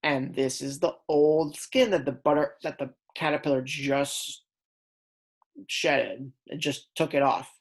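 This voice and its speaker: A woman reading aloud as a kindergarten teacher, in a deliberate, instructional way that stresses key words. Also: extremely low pitch, steady tone. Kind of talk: read-aloud lesson for young children.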